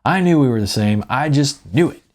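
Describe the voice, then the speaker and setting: A man's voice: mid-range voice, animated, fairly quick, darkish tone, voice pushed up, close to an everyday voice. A man voicing a hearty, stout middle-aged guitarist in an audiobook, with folksy, colloquial, chummy talk. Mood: delighted.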